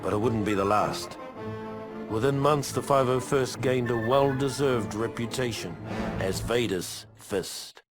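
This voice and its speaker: An actor playing a weathered veteran clone trooper, narrating his war memoirs for a video game in a measured, grave, wry soldierly manner. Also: medium pitch, natural voice. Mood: proud, grim. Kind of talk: scripted in-character journal narration for a game. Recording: music behind the voice.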